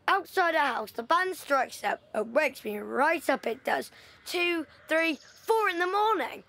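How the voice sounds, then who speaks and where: A woman's voice: high-pitched, creaky, bright, forceful tone, heavily acted. Woman playing a guarded wartime village boy. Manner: reluctant, subdued about his father. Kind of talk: audio play acting.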